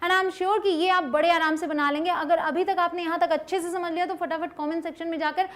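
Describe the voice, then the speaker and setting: A woman with an Indian accent teaching an online English lesson step by step, often checking understanding and slowing down to model example sentences. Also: very high-pitched, fairly even intonation, a bit bright. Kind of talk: instructional lesson, explaining step by step.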